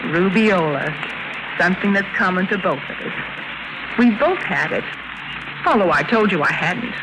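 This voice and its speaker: An actress playing a poised, teasing countess who smuggles arms, in a 1950s radio drama. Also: female voice, on the low side, animated, moderate pace. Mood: cynical, flirtatious. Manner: poised, teasing, coolly amused. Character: cool, cynical countess, a double-dealing arms smuggler. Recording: noisy vintage 1950s radio recording.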